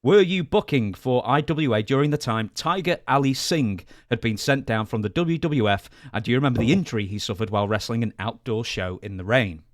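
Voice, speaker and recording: A man's voice: medium pitch, fairly quick, hardly any pauses, no echo, studio quality. A man co-hosting a podcast, chatting casually and putting questions to his partner. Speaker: podcast co-host reading listener questions.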